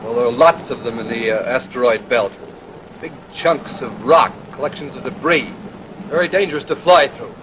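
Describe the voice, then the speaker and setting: An actor playing a jaded, defiant spaceship pilot in an old-time radio drama, blunt and sardonic. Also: male voice, medium pitch, normal speed, background noise, lightly acted. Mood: wry, warning. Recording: distant vintage radio recording, droning background.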